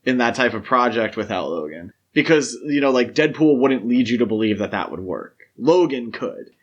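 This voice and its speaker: A man's voice: mid-range voice, expressive, normal speed, muffled recording. Casual, emphatic man hosting a podcast. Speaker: podcast host. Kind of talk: casual film discussion.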